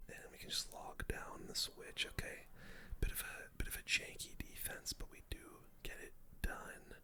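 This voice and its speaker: A person whispering casual ASMR gameplay commentary, breathy and unvoiced, full of gamer slang while talking through each move. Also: moderate pace. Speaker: game streamer.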